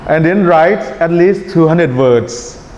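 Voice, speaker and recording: A man with a Thai accent teaching an IELTS writing class in a relaxed lecture style, often checking in with his students. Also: a little high, slow pace. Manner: relaxed, checks in with "right?" and "okay?". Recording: echoey hall, dull sound, faint hiss.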